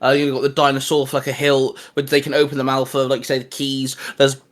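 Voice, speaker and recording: A young man chatting casually as a co-host, excitable and quick to laugh, swearing freely. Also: medium pitch. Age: young adult. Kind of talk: casual podcast chat.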